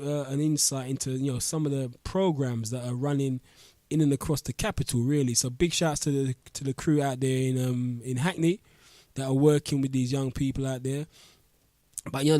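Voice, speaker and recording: Blocked-up radio host, dry studio. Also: male voice, medium pitch, mellow, no room sound. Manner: loose, rambling, street slang. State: blocked-up nose. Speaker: radio talk show host. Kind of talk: casual radio chat. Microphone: studio mic.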